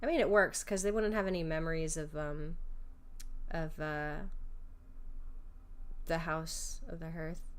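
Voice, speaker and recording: A woman streaming casual reaction commentary on a game, informal and excitable as she thinks aloud. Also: low-pitched, a little slow, pauses often. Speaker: streamer, vtuber.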